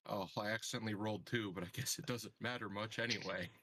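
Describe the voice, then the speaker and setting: A man with a husky voice playing a tabletop game, talking casually and a little tentatively. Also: on the low side, quick pace, bright, forceful tone, slight background noise.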